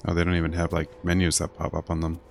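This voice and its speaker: A man's voice: low-pitched, monotone, fast, gravelly, slight background noise. A man doing casual gameplay commentary as a streamer, dry and blunt, thinking aloud and reading menus. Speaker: game streamer.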